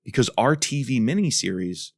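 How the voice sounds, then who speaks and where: A man's voice: medium pitch, sing-song, moderate pace, no echo, clean studio sound. A man co-hosting a podcast in a casual, enthusiastic and emphatic discussion style. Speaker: podcast co-host.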